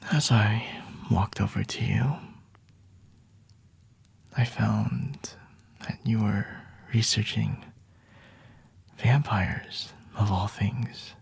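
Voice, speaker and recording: A man playing a flirty, aloof, high-ranking vampire in an ASMR roleplay, speaking in a breathy, hushed whisper close to the mic with haughty, seductive pauses. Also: no echo, full character voice. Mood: teasing, smug. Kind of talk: ASMR roleplay, scripted monologue to the listener. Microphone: close-up microphone.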